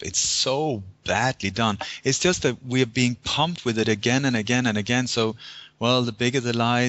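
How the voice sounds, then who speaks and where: A man from Sweden, a guest on a call-in style interview, telling stories in an animated way. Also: dry recording. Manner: animated storyteller, acts out phone calls in high voices. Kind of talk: casual interview talk. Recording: dull lo-fi audio.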